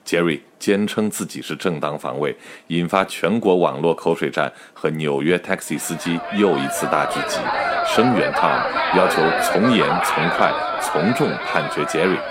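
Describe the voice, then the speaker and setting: Male actor as mock-serious storyteller: low voice, wry radio-drama narration, slight background noise. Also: lively intonation, moderate pace, hardly any pauses, crowd noise. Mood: mock-grave.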